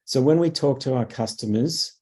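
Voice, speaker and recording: A man with a croaky voice from a cough, presenting a webinar as a product manager, halting with fillers and clearing his throat. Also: medium pitch, darkish tone.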